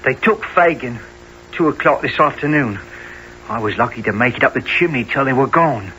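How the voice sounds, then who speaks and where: An actor in a radio play playing a nervous, streetwise young thief, wary and hesitant. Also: male voice, medium pitch, lively intonation, normal speed, bright, forceful tone. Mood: uneasy. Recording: muffled radio-play recording, faint noise.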